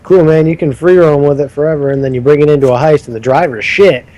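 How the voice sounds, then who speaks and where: A man's voice: fairly high pitch, fast, mellow, low-quality recording. A man co-hosting a gaming podcast, laid-back and wry in casual chat. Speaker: podcast co-host.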